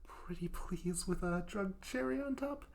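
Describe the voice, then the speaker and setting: A man's voice: high voice, animated, a little slow, vocal fry, heavily acted. A man voicing a hapless, sweet but clingy young yandere student in a comedic audio roleplay, flustered, stammering and pleading. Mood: whiny pleading.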